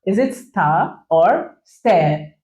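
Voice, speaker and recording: A woman's voice: mid-range voice, animated, dark tone. A young woman with a Kenyan accent hosting a playful chat, teasing her guest and spelling words out letter by letter. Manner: teasing, spells words slowly, laughs often. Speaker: host. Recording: roomy echo.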